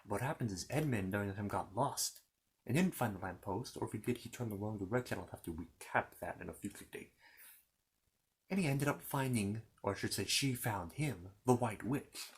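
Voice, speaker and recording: A man's voice: on the low side, lively intonation, fairly quick. A man telling a children's fantasy story in an improvised ASMR style, rambling along with asides and repeated phrases.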